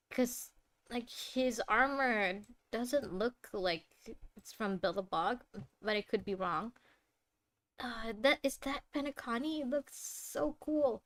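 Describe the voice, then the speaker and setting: A young woman giving casual, excitable live commentary as a streamer, often exclaiming. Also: a little high. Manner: expressive, exclaiming. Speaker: streamer reacting to game trailers. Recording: loud game music behind. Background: game trailer music.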